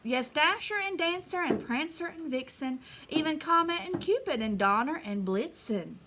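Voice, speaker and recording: A woman reading a children's storybook aloud in an animated way, pausing to put questions to her young listeners. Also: high voice, noisy background. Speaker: elementary school teacher reading aloud.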